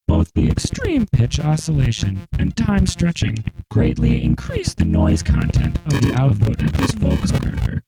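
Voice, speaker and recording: Vocoder-processed narrator, gravelly and buzzing, slow over-enunciated scripted explanation of electronic composition. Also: poor audio. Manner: slow, exaggerated, very proper enunciation. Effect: vocoder, randomly shifting synthesizer pitch and chords. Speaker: composer narrating own piece.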